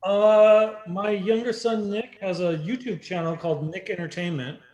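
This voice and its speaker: A middle-aged man with a high voice chats casually as a game contestant. The sound is noticeably roomy and echoey. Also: moderate pace, a little mellow. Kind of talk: casual chat.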